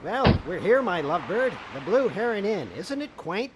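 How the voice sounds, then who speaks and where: An actor playing a mild, nostalgic henpecked husband on the run, earnest and placating, in old-time radio drama style. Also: male voice, high voice, sing-song, normal speed. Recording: loud ambient noise behind.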